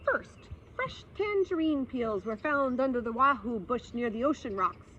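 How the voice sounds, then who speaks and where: A woman's voice: fairly high pitch, lively intonation, measured pace, fairly bright tone, lo-fi sound, slight background noise. A woman voicing a solemn, officious wild boar in a children's storybook, speaking in a formal, methodical, pompous way.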